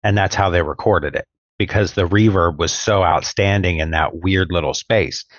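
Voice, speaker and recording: A man's voice: low voice, moderate pace, dry recording. A man co-hosting a podcast, explaining things thoughtfully with hesitant restarts, recorded slightly dull and lo-fi. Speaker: podcast co-host. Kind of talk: casual podcast chat.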